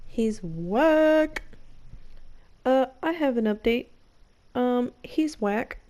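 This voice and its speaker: A woman streamer giving playful, theatrical gameplay commentary with deadpan joking, game music behind her. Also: fairly high pitch, extremely slow. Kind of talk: playful live gameplay commentary with improvised dramatics.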